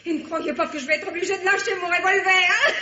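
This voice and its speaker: A woman's voice: very high voice, steady tone, fast talker, bright, forceful tone. An actress playing a desperate female accomplice in a vintage French radio crime drama, with a dramatic delivery. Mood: threatening, frantic. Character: desperate female accomplice in a drug affair, pleading and threatening. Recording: dull lo-fi old radio recording.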